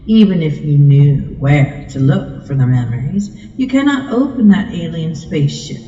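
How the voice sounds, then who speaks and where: A woman's voice: deep voice, very animated, normal speed, mellow. A woman performing an ASMR hypnosis monologue, coaxing the listener with soothing, repetitive suggestions. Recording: muffled, echoey with long reverb.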